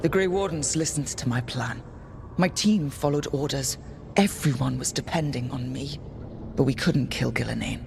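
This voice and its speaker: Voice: mid-range voice, moderate pace, creaky, noisy background, natural voice. An actor voicing an earnest, burdened team leader in a video game, plain-spoken and subdued. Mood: regretful, heavy. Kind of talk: dramatic video game dialogue.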